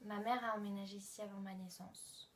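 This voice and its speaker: Young woman, calm soft answers, slight noise behind. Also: medium pitch, a little fast. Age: young adult. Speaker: interviewee. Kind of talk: casual interview answers.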